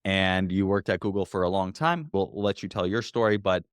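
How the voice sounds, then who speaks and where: A man's voice: deep voice, fairly even intonation, moderate pace, clean tone, no room sound, clean studio sound. A man hosting a podcast interview, upbeat and encouraging as he prompts his guest. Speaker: podcast host. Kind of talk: interview conversation.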